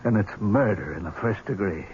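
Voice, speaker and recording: A stern, accusing man, played by an actor, presses his case with lively, dramatic pitch swings. It is an old radio play, thin and tinny with faint noise. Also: natural voice. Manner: grave, insistent, deliberate. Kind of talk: vintage radio drama acting.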